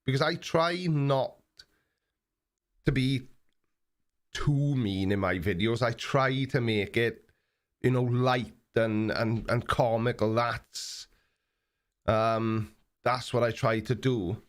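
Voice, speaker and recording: A man's voice: mid-range voice, takes it slowly, long pauses. Forty-something Australian man chatting live. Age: 40s. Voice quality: relaxed, plain-spoken, frequent ums and repeats. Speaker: live stream host. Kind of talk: casual chat reading viewer comments.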